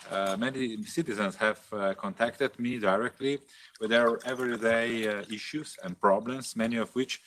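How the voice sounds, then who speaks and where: A man's voice: on the low side, steady tone, normal speed, fairly bright tone. Hesitant man, noisy recording. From Slovenia. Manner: hesitant, polite, searching for words. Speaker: European Parliament member hosting a conference. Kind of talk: informal conference moderation.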